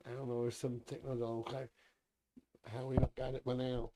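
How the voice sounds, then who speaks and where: A man chats as a guest on a podcast, telling stories in plain, colloquial talk. His mid-pitched voice is still a bit hoarse from being sick. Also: level tone, a little fast, background noise. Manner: plainspoken, storytelling, colloquial grammar. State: recovering from lost voice. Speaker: guest, host's uncle. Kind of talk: casual chat.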